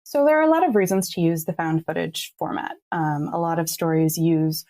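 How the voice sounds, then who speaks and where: A woman's voice: lowish voice, fairly quick, warm tone. A woman giving articulate, enthusiastic explanations as a creative guest in an interview. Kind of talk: thoughtful explanatory interview talk.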